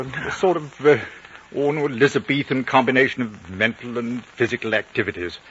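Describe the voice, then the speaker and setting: An actor playing a gentleman storyteller in a radio drama, musing expressively and with admiration. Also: medium pitch, husky. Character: animated gentleman storyteller admiring his adventurer friend. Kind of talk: 1950s radio drama acting. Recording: muffled old radio broadcast.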